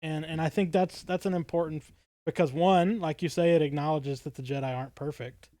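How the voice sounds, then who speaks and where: A man's voice: fairly high pitch, fairly even intonation, a little fast, mellow, no echo. A man co-hosting a podcast, talking casually in an earnest and thoughtful manner. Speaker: podcast co-host.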